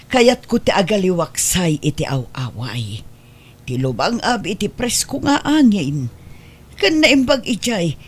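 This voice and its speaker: An actress plays an older, well-to-do Filipino wife who runs her household with a commanding air. Her pitch moves animatedly as she speaks. The delivery is expressive comedy drama acting. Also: slightly rough, exaggerated voice. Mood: weary, wistful. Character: well-off older married woman, bossy mistress of the house. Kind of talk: Ilocano comedy radio-style drama acting.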